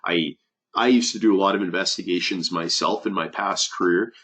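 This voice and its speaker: A man's voice: low-pitched, brisk, a bit bright. A man who is a safety advisor, giving a webinar presentation with many fillers and restarts, sounding nasal from a cold.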